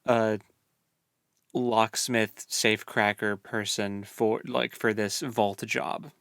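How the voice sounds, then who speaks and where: A man's voice: lowish voice, little pitch movement, slow pace, a bit bright, smooth, dry recording, studio quality. A man co-hosting a casual book podcast, rambling easily through the plot with loose restarts. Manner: rambling, lots of fillers and restarts, easygoing.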